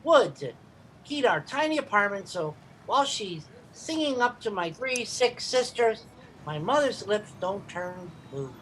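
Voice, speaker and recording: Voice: mid-range voice, big pitch swings, measured pace, fairly bright tone, a little hiss or hum, poor audio. An actor playing a cunning young boy who peddles with earnest, wheedling patter in a radio comedy.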